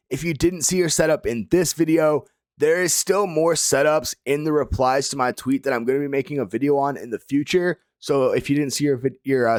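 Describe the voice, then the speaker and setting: Slightly hoarse young man, casual. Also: fairly high pitch, no echo, studio quality. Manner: laid-back, many fillers like "like" and "um". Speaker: gaming video creator. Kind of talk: casual review commentary.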